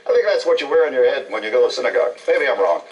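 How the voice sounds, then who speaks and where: A man's voice: mid-range voice, animated, rapid-fire, lo-fi sound. A middle-aged man, wisecracking and teasing as a cooking show co-host on an old TV tape. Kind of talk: joking casual banter. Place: TV studio kitchen. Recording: distant mic, old TV broadcast tape.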